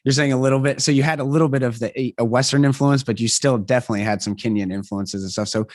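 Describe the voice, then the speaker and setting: A man from the southern United States hosting a podcast, asking questions in a relaxed, chatty way and laughing easily. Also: mid-range voice, fast talker, dry recording, clean studio sound. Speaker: podcast host. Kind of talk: casual interview chat.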